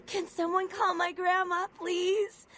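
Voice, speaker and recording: An actress playing a gravely injured young woman in a video game, frightened, weak and bewildered, gasping and crying in pain. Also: extremely high pitch, steady tone, takes it slowly, a bit bright, heavily acted. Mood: pained, pleading.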